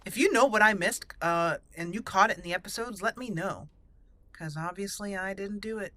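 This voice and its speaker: A woman's voice: medium pitch, moderate pace, sharp tone. A young woman casually commenting on her game as she plays, chatty and giggly, rambling with fillers. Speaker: let's play host.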